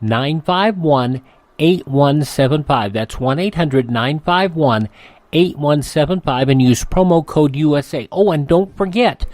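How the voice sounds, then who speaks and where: A man's voice: fairly high pitch, a little mellow, no room sound. An American man hosting radio, reading promos and introductions in a casual, folksy, conversational style. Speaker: radio host. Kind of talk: casual host-read advertisement and programme introduction.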